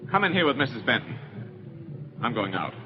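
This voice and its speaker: Curt, sardonic husband played by an actor. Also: male voice, mid-range voice, very animated, fairly quick, faint music behind, close to an everyday voice. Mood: curt, dismissive. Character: bitter, bored, contemptuous husband, resentful of his wife. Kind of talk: 1930s radio drama acting. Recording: muffled old radio recording, heavy noise.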